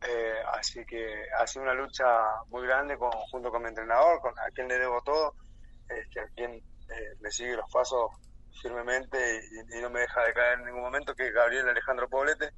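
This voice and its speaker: A man's voice: medium pitch, fairly even intonation, moderate pace, pushed and bright, lo-fi sound. A man in his thirties with an Argentine accent, a boxer guest talking on a radio phone interview, hesitant and repeating words, laughing easily. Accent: Argentine Spanish. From San Martín de los Andes, Argentina. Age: 30s.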